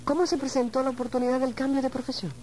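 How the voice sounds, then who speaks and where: A woman's voice: high-pitched, steady tone, fast, mellow. A woman hosting a conversational interview, chatty and complimentary, prompting her guest with questions. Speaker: interviewer and host.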